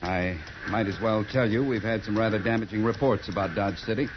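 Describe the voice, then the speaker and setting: A man's voice: on the low side, brisk, natural voice. Stiff, officious government man, played by an actor. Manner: formal, officious, clipped. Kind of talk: 1950s radio western acting. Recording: dull, noisy 1950s radio recording.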